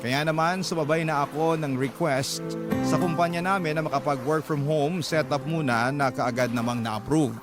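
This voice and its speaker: A man's voice: fairly high pitch, moderate pace, close to an everyday voice. A man reading a letter sender's love story in the first person, as reflective narration for an audio drama. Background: loud music.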